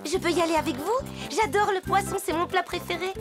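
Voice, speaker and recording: An eager little cartoon animal girl speaks in a high voice, fussing over signs of bad luck. Loud music runs behind her. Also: a little fast.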